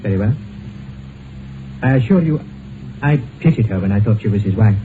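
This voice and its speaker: An actor in an old-time radio drama playing an earnest, sentimental gentleman doctor, speaking politely and gravely. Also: on the low side, expressive, normal speed, lightly acted. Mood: earnest, indignant. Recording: tinny, noisy old radio recording.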